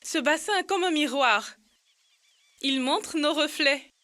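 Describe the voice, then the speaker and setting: A woman's voice: high voice, slow pace, bright, forceful tone, exaggerated voice. A woman voicing a small, cheerful child in a children's educational cartoon. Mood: amused, cheerful.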